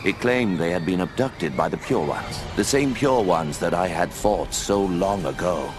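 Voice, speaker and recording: A stern old owl mentor, deep-voiced. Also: male voice, animated. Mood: grave. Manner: measured, grave storytelling, dry commands. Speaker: narrator and mentor. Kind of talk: game cutscene voice acting. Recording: voice over loud game music.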